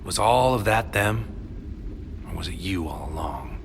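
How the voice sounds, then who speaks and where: An actor playing a tormented psychic soldier in an audio drama, grave and brooding, with formal, poetic phrasing. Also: male voice, sits low, expressive, takes it slowly, creaky, a little hiss or hum, exaggerated voice. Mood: pained. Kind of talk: audio drama acting with narrated inner monologue. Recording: studio voice over music.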